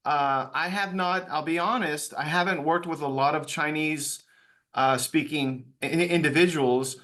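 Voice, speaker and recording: A man's voice: on the high side, a bit bright. A man hosting an interview, asking long rambling questions with fillers and casual phrasing. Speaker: show host.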